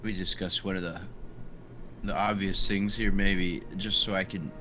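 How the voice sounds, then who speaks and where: A low-voiced man talks casually as a player in a tabletop roleplaying game, feeling his way into sentences and repeating words. Music plays clearly behind him. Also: flat delivery, a little slow. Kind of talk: casual in-character game talk.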